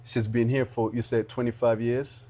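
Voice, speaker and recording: A young man from Rwanda hosting a podcast, talking in an earnest, halting way with many restarts. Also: mid-range voice, little pitch movement, dark tone. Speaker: podcast host. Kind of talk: casual interview chat.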